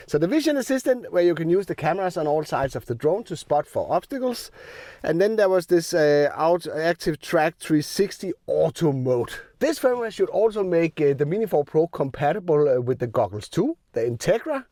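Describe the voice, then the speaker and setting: A man with a fairly high, animated voice walks through a drone demo step by step, dropping in small fillers as he goes. His voice is a little worn because he is sick. The sound is dry and close, with almost no room around it. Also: normal speed, dry recording. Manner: plain step-by-step explaining with filler ums. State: sick, voice a bit rough. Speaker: drone tech reviewer. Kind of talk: hands-on product demo. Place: outdoors in the cold.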